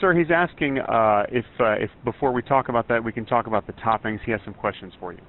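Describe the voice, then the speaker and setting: A man's voice: medium pitch, fast talker. A middle-aged man with an American accent making a polite, straight-faced phone relay on a headset, in a muffled recording. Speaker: prank caller posing as translator. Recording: muffled, band-limited call recording.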